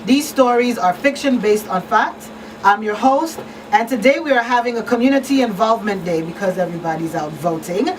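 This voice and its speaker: A woman hosting a live radio talk show in a casual style from a small studio. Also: medium pitch. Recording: slightly distant, noisy room sound.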